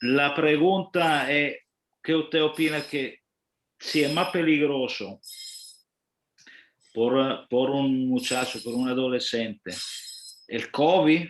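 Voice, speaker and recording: A man who is not a native speaker asks a question on a video call. He speaks slowly and haltingly, repeating himself as he searches for words. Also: medium pitch, low-quality recording. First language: not Spanish. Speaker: parent asking a question.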